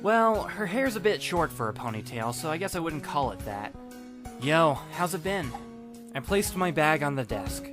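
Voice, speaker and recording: A man narrates a first-person story in a fairly high voice with very animated swings. His delivery is wry, dramatic and self-deprecating. Music plays clearly behind him. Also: normal speed. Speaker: audiobook narrator playing the first-person lead. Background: background music.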